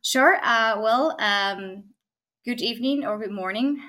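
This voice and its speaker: A woman from Argentina, a technology consultant, speaking as a guest in a podcast interview, thoughtful, with frequent pauses and tag questions. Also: on the high side, bright, forceful tone. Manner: thoughtful, many fillers and restarts, frequent "right?".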